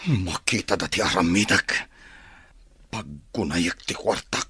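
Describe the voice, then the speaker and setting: An actor playing a wealthy grown son, a betrayed and bitter lover, with a Filipino accent in a radio drama. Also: male voice, deep voice, measured pace, bright, forceful tone. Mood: bitter, furious.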